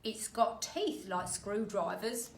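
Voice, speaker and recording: Lady playing a mean old storybook husband, loud n drawn out, taunting, echoey room. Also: medium pitch, animated, slow. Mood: taunting, menacing. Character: nasty, scheming, scornful old husband. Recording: roomy echo, distant mic, faint noise.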